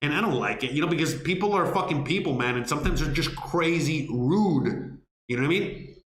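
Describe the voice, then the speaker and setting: A man's voice: on the high side, animated, a little fast, fairly bright tone, slight background noise. A man from Miami hosting a live question-and-answer stream, blunt and profane, rambling with lots of "you know what I mean.". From Miami, United States. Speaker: livestream host answering viewer questions. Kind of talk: casual live Q&A chat. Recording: roomy echo.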